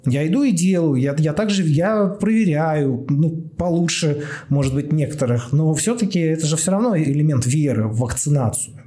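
A man's voice: on the high side, animated, moderate pace, few pauses, warm tone. A man hosting a casual, improvised podcast in a rambling, blunt way, repeating words for emphasis. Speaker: podcast host, doctor.

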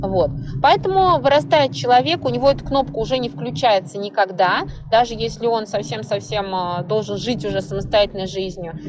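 A woman's voice: mid-range voice, brisk, few pauses, rough recording. A woman hosting a podcast, talking conversationally and expressively in a monologue. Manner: expressive, often acts out imagined inner voices. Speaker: podcast host.

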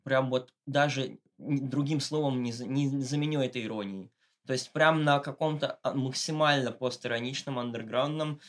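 A man's voice: mid-range voice, moderate pace. A man giving a casual, slangy and ironic interview as a podcast guest. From Russia, Moscow. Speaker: interview guest, satirical blogger.

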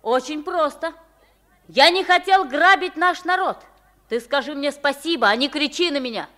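A woman's voice: high-pitched, moderate pace, pushed and bright. A woman playing a bold, plain-spoken pauper boy in an old radio play, frank and cheeky. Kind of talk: Soviet radio play acting.

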